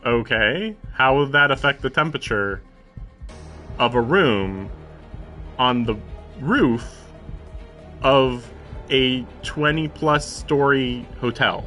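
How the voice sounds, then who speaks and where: A man's voice: medium pitch, slow, pauses often, pushed and bright. A man live-streaming a visual novel, reading the narration aloud and breaking into casual, incredulous commentary with some swearing. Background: game music.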